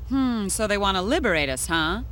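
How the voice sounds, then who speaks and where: A woman voicing a tough cyborg squad commander in an English anime game dub, with a brisk, commanding manner. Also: medium pitch, expressive, a bit bright, slight background noise, natural voice. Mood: dry, curious.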